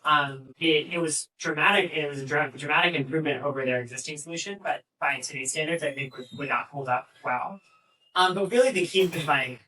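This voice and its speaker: Distant event recording, man, fairly high bright voice, halting panelist explanations. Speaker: panelist, AI agent engineer. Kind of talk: fireside chat answers. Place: live fireside chat event. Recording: distant mic, poor event audio.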